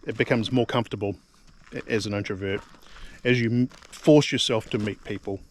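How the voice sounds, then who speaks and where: A man's voice: on the low side, normal speed. A middle-aged man from New Zealand with a New Zealand accent, rambling casually to camera, full of ums and repeated words. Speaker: vlogger. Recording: faint background noise.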